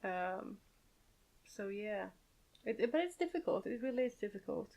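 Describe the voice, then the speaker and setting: A woman's voice: mid-range voice, expressive, moderate pace, a little mellow, poor audio. A woman co-hosting a casual gaming podcast discussion, speaking thoughtfully with frequent hedges and agreeing murmurs. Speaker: podcast co-host.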